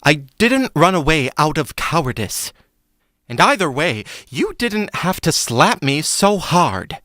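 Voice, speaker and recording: Casting: actor as self-absorbed exiled prince, unhurried but swooping delivery, fantasy audio drama. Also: male voice, on the high side, big pitch swings, pushed and bright, dry recording, clean studio sound, full character voice. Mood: indignant. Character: long-lost prince, indignant, pleading, self-absorbed. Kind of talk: stage-style fantasy audio drama acting.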